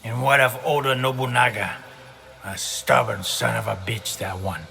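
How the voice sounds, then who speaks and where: Game thug: cynical mercenary, hard bright tone with heavy rasping creak, crude and sardonic. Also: male voice, mid-range voice, fairly even intonation, normal speed, faint music behind. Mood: bloodthirsty, mocking. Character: brutal, cynical mercenary henchman, a grown man, mocking. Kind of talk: dramatic video game cutscene acting.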